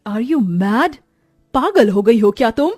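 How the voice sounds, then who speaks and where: A woman's voice: fairly high pitch, big pitch swings, slow, a little mellow, slightly rough, dry recording, clean studio sound, heavily acted. Woman playing coy, scheming young fiancée. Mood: indignant. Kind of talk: Hindi moral-story cartoon acting.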